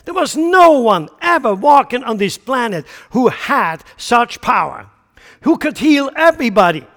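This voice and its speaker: A man's voice: very high voice, very animated, unhurried, a bit bright, long reverb tail. A man from central Switzerland with a Swiss German accent, preaching a sermon with emphatic rhetorical questions. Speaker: pastor.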